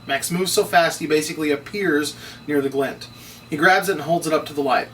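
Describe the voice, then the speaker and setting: A man's voice: on the high side, brisk, a bit bright. A man narrating stage directions as he reads from a script at a table read. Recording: distant mic, noisy room.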